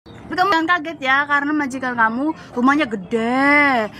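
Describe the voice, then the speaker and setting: A young Javanese woman recounting her years as a domestic worker abroad in a lively casual chat, laughing often. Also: sits high, fairly quick, slight background noise. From Java, Indonesia. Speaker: former migrant domestic worker.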